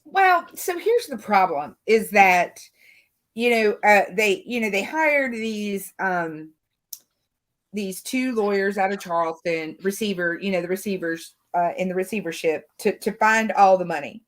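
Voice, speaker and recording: A woman's voice: mid-range voice, expressive, measured pace. A woman from the American South speaking casually and at length as a panel commentator, circling through her points with lots of filler phrases. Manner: rambling, frequent "you know". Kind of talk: casual true-crime discussion.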